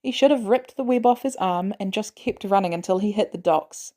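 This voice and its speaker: A woman narrates scripted fiction. Her voice is soft-edged and mellow, sitting at a middling pitch as she reads the story. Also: brisk. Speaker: podfic narrator.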